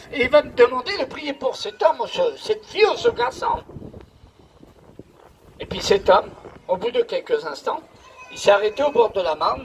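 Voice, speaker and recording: Male pastor with a notably high voice, preaching with emphatic repetition and storytelling. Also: a little hiss or hum, poor audio. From Paris region, France. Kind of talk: preaching with congregation responses.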